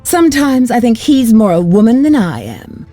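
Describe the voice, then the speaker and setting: A woman's voice: on the high side, wildly swooping pitch, normal speed, mellow, soft background music. A woman playing a scornful, sly serpent clan villain in a video game cutscene.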